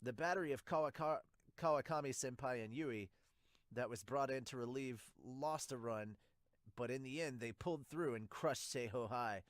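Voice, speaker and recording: A man voicing a polite, chatty young baseball player recounting events in a lively amateur manga dub. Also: mid-range voice, normal speed, no echo, lightly acted.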